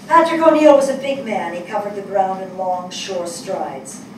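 A woman's voice: medium pitch, recorded from a distance, natural voice. Older woman acting a compassionate writer, very echoey. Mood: solemn tribute. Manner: measured, earnest, deliberate. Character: thoughtful, compassionate woman writer helping draft eulogies. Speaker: actor in a staged play reading. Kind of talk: dramatic stage reading. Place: small indoor theatre stage before an audience. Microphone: distant camera mic. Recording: echoey stage picked up from afar, noisy.

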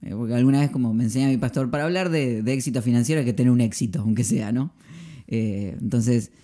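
A man's voice: mid-range voice, quick pace, warm tone. A man in his thirties with an Argentine accent, hosting a casual podcast conversation at a close microphone in a small room. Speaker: podcast host, pastor. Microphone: podcast microphone on arm, close.

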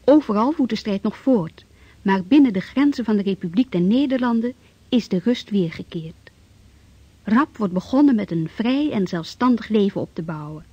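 Mellow, husky woman radio narrator. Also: mid-range voice, dry recording. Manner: grave, measured. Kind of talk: dramatic scripted narration of a historical radio play. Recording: dull, dry vintage radio recording.

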